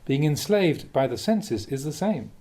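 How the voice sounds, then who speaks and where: A man, a Buddhist monk, reading a dharma talk aloud in a measured, reflective way with the occasional soft chuckle. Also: on the high side, sing-song.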